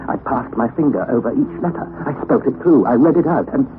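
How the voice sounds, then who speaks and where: A young actor playing a wry, anxious nephew who narrates the story, in a 1960s radio drama on a tinny, lo-fi broadcast recording. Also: male voice, mid-range voice, fast talker, noisy background, close to an everyday voice. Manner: earnest storytelling, rising to excited outbursts.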